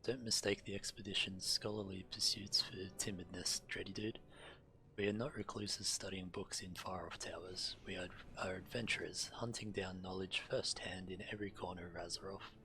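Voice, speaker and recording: Very deep, bright-voiced male game streamer. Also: steady tone, moderate pace, creaky, a little hiss or hum, poor audio. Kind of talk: reading quest text aloud during gameplay stream.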